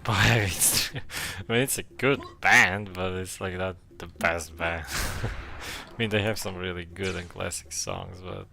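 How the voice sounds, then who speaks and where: A man's voice: deep voice, animated, a little slow, gravelly. A man with a Serbian accent, a native Serbian speaker, chatting casually on a live game stream, thanking and answering viewers and laughing often. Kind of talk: casual live-stream chat while gaming.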